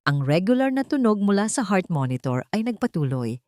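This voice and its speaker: A woman's voice: mid-range voice, very animated, fairly quick, warm tone, no room sound. A woman narrating a scripted dramatic story as an audio drama.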